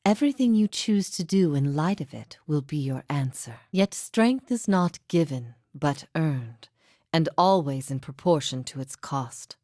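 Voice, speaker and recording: A woman playing a solemn, resolute heretic spirit in a video game, speaking in a grave, measured, contemplative way. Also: sits low, mellow, no echo, clean studio sound. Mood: philosophical, measured. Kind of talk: dramatic video game dialogue.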